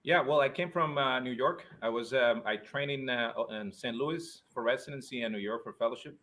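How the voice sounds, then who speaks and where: A man from Spain, a urologist guest answering interview questions conversationally, somewhat halting and repeating words. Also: medium pitch, a bit bright. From born in Spain, Puerto Rican and Spanish family. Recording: faint background noise.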